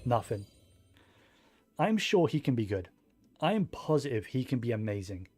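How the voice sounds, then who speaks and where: A man's voice: mid-range voice, sing-song, normal speed, a little mellow, breathy edge. A man giving casual gaming commentary, thinking aloud in a muttering way and swearing casually. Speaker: game streamer. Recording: game music behind the voice. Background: game music.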